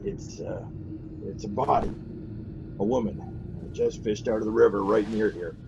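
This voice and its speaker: Gravelly, stolid old-radio policeman. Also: fairly low pitch, moderate pace, background noise. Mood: grave, hesitant. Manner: hesitant, plain-spoken, official. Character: stolid, grave male police officer breaking bad news. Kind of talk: 1940s radio acting. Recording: dull, lo-fi 1940s radio recording.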